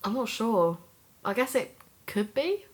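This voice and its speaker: A woman's voice: on the high side, big pitch swings, measured pace, slightly rough, heavily acted. A woman playing a shaken co-podcaster. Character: young woman co-podcaster, shaken but upbeat.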